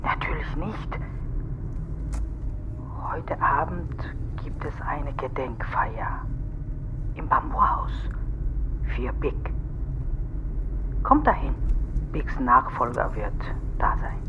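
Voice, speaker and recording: An actress playing an anxious, secretive middle-aged mother in a radio crime drama, talking in a hushed, hesitant, breathy way. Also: rumbling low, expressive, slow, long pauses, dull audio, noisy background, full character voice. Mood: secretive, nervous. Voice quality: breathy, whispery. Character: secretive, anxious Chinese-Danish mother on the phone.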